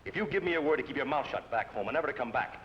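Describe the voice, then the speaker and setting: A man acting out a stern lawman barking curt, clipped orders, fast and with lots of rise and fall in a mid-range voice. It sounds muffled and lo-fi, like an old radio broadcast with a faint hiss. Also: medium pitch, animated, fairly bright tone, lightly acted. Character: stern older-brother lawman, curt and commanding.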